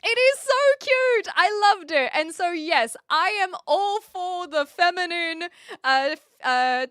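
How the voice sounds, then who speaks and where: A woman from New Zealand with a posh Kiwi accent, chatting casually as a livestream host in an expressive, giggly way. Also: very high voice, slow, no echo, clean studio sound. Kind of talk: casual livestream chat, answering viewer comments.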